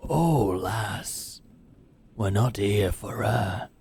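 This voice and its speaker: A man's voice: lowish voice, expressive, drawn-out words, a little mellow, a little hiss or hum, exaggerated voice. A man playing a crude, menacing leader of medieval hired killers, sneering and boastful in a fantasy audio drama. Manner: sneering, boastful, rough colloquial talk.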